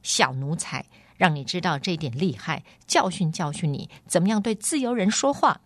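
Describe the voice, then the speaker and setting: A woman voicing a rough, bullying male soldier in a storybook, mocking and threatening. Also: on the low side, expressive, normal speed, no echo.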